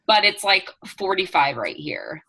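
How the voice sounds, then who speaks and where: A woman from eastern Washington State, telling rambling, animated stories in a casual group chat over a video call. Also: on the low side, measured pace, vocal fry, husky.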